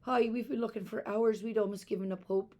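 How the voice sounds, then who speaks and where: A woman's voice: medium pitch, steady tone, fairly quick, warm tone, pitched above the performer's own voice, close to an everyday voice. A woman puts on a devoted mother from a children's storybook. The voice is loving and fervent, full of tender emotion. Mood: tender, relieved. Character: tender, emotional mother of two young girls. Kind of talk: children's storybook read aloud.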